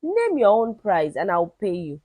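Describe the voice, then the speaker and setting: A woman's voice: mid-range voice, wildly swooping pitch, a little slow, a little mellow, no echo. A woman voicing a suffering, pleading king in a folktale, bargaining earnestly. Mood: desperate.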